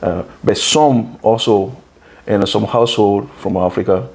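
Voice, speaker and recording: A man's voice: on the low side, a little slow, gravelly, darkish tone. A Ghana-born man hosting an interview, earnest, who restarts and repeats words as he talks and keeps affirming his guest. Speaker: interview host. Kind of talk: conversational interview.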